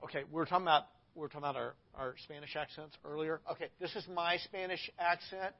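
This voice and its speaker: A man's voice: fairly high pitch, fairly even intonation, fast talker, creaky. A man, a pastor hosting a casual interview, talking in a rambling way with restarts. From Arkansas. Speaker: pastor and host. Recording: dull lo-fi audio.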